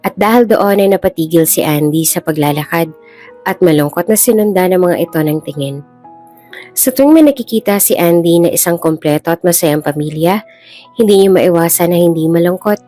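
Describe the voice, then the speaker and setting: A woman's voice: fairly low pitch, a little fast, warm tone. A woman with a Filipino accent reading a scripted dramatic story as a storyteller. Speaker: storyteller, channel host. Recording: music bed behind the voice.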